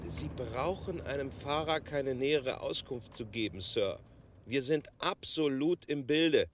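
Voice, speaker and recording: Man acting a proud london cabbie, very proper and sure of himself, mid pitch, radio play. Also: unhurried, slight background noise, close to an everyday voice. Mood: proudly correcting. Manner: formal, self-assured. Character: proud, formal London taxi driver. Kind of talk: German radio drama acting.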